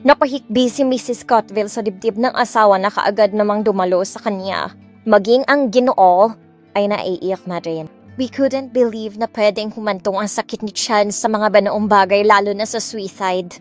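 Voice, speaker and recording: A woman's voice: mid-range voice, normal speed. A woman narrating a scripted first-person drama story, with music behind her. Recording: music bed under the voice.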